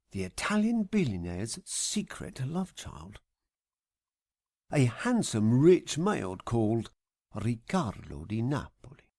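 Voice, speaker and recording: A middle-aged man reading scripted first-person comic narration in a wry, deadpan, mock-grand manner. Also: medium pitch, dry recording, clean studio sound. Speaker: author reading own audiobook.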